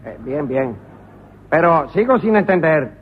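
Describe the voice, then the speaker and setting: An actor playing a dim, hapless police inspector in a radio comedy drama, husky and hesitant, stumbling over his words. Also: a little high, lively intonation, unhurried. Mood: bewildered. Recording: muffled old radio broadcast.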